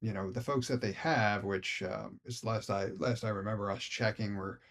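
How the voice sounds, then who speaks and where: A man's voice: fairly low pitch, steady tone, moderate pace, vocal fry, a bit bright. An American man co-hosting a casual discussion podcast, talking in a hedging, meandering, conversational way. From Philadelphia, United States. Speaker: podcast co-host.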